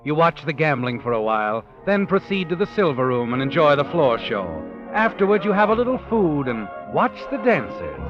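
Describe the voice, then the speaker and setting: An ominous knowing storyteller, very animated, taunting, vintage radio mystery, muffled with music under him. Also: male voice, on the high side, moderate pace, few pauses. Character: omniscient, taunting male narrator addressing the heroine. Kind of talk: 1940s radio suspense narration. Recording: dull vintage 1940s radio recording.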